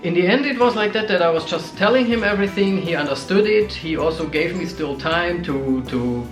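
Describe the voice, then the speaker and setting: A young man who did not grow up speaking tells personal stories in an earnest, halting way, often stopping to restart. His voice sits a little high for a man. He is recorded in an echoey room with soft music playing underneath. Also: lively intonation. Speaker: co-host. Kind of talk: casual chat about relationships.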